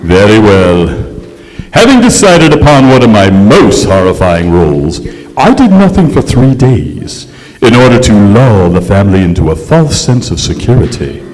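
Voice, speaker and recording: Brief: haughty male ghost aristocrat, florid and theatrical, low-pitched with huge swoops, radio-play narration in an echoing hall. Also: big pitch swings, moderate pace, darkish tone, faint music behind. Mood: grandly boastful. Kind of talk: old-time radio drama narration on stage. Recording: echoey hall stage recording.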